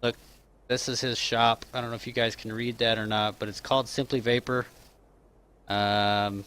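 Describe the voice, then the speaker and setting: A man's voice: medium pitch, flat delivery, a bit bright, clear, resonant tone. A man in his thirties from Kansas, easygoing in casual live-stream chat, reading out questions with plenty of um and uh. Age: 30s. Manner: easygoing, drawn-out fillers and quick chuckles.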